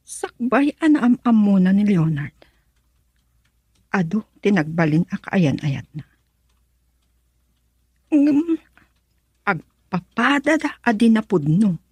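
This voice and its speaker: An actress playing a lively woman friend in an Ilocano radio love drama, with an Ilocano accent and an expressive, husky delivery. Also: medium pitch, takes it slowly, pauses often, no room sound, heavily acted. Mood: pensive. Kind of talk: Ilocano radio drama acting with narrated inner thoughts.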